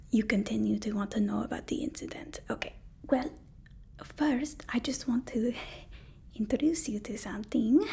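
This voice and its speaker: A slow, whispered voice for a super villain's personal assistant acted out by a woman. She is deferential and eager to flatter, with a nervous hesitancy under the politeness. The delivery is an intimate ASMR roleplay, pitched fairly high.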